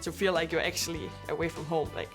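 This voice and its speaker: A woman's voice: low-pitched, level tone, fast, music in the background. Chatty young woman basketball player. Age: young adult. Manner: chatty, lots of "like". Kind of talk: interview.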